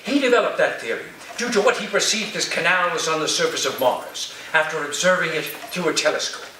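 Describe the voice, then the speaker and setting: A man in a staged play reading, playing an eccentric, grandiose literature professor with deliberate, dramatic pauses. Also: on the high side, sharp tone. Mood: lecturing. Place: room, live staged reading, recorded from afar. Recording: distant roomy stage recording, noisy.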